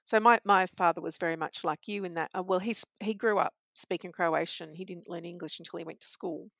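A woman in casual conversation, reflective and earnest, pausing with frequent ums. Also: fairly low pitch, gravelly, fairly bright tone, no room sound.

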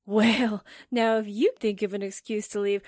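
A woman's voice: medium pitch, steady tone, normal speed, a little mellow, dry recording, studio quality, lightly acted. A woman playing a flippant, slangy 1940s newspaperwoman in a radio drama. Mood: flippant, curious. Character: spritely, wisecracking 1940s newspaperwoman.

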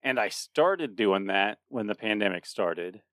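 A man's voice: fairly low pitch, expressive, unhurried, fairly bright tone, no room sound, clean studio sound. A man in his late thirties co-hosting a podcast in a casual chat, rambling with frequent restarts and laughter. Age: 30s. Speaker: podcast co-host.

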